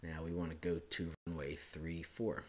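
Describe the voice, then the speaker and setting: Hoarse low-voiced man walking through a flight sim. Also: moderate pace. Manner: relaxed, thinking aloud with frequent uh and self-corrections. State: hoarse from a chest cold. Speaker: flight sim hobbyist host. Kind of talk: screen-recorded how-to walkthrough.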